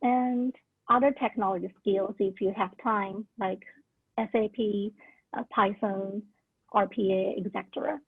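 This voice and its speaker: Young woman, English speaker, earnest career-advice talk, husky, tinny phone-like call audio. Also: mid-range voice, steady tone, measured pace, no room sound. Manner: earnest, with many "like" and "uh" fillers. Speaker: alumna sharing career tips. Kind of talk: casual talk at a virtual orientation. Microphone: video call.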